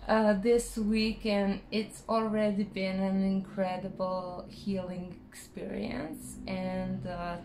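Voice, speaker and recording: A Croatian-accented woman talks to her camera in English, her second language, hesitating and reaching for words with plenty of fillers. She speaks very slowly at an ordinary pitch. The sound is roomy with a clear echo. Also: darkish tone, slight background noise. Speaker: vlogger. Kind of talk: personal vlog monologue.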